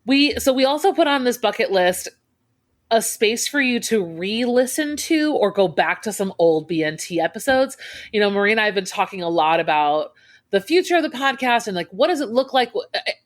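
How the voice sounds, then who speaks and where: A woman's voice: fairly high pitch, expressive, fairly quick. A woman co-hosting a teaching podcast, friendly and encouraging, often restarting her sentences. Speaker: podcast co-host. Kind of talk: casual chat.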